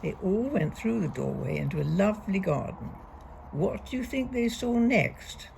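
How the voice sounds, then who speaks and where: Woman storybook reader for young children, very creaky voice, lively melodic swings, emphatic key words, faint background noise. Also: medium pitch, poor audio. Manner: deliberate, expressive read-aloud with emphasised key words. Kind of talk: reading a young children's picture book aloud.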